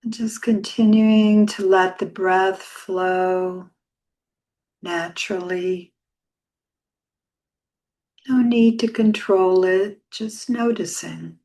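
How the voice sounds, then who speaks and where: Slow, gentle woman guiding meditation. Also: medium pitch, steady tone. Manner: soft, gentle, soothing, unhurried with long pauses. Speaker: yoga meditation teacher. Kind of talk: guided meditation.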